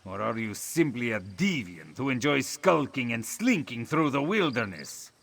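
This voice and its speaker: A man's voice: mid-range voice, big pitch swings, measured pace, creaky, a bit bright, heavily acted. An actor voicing a suspicious, scornful man in a fantasy video game, accusing and exasperated in manner. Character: suspicious, scornful male local of a fantasy land. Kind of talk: video game character acting.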